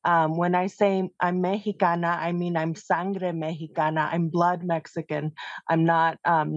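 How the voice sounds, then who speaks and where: A woman's voice: on the low side, a little mellow, no room sound. A middle-aged woman from South Phoenix, an artist introducing herself over a video call, chatty and laughing often. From South Phoenix, Arizona. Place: home room, indoors. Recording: dull video-call audio.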